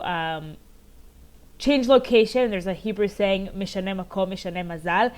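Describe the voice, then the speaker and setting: A woman in her thirties, originally from Maryland, speaking as an interview guest over a remote call from home. She tells her story earnestly and pauses often with "um". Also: medium pitch. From United States, Maryland. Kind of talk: conversational interview answers.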